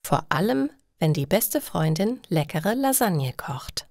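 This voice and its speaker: A woman's voice: fairly low pitch, sing-song, slow, a little mellow, no room sound, clean studio sound. A woman reading a scripted story for language learners in careful, separated phrases.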